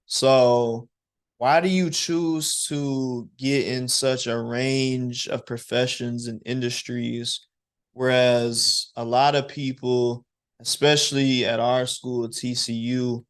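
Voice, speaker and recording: A young man hosts a student interview show and asks his guest encouraging questions. He speaks slowly, and his pitch barely rises or falls. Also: gravelly, clear, resonant tone. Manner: slow, even, encouraging questions. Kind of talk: podcast interview hosting.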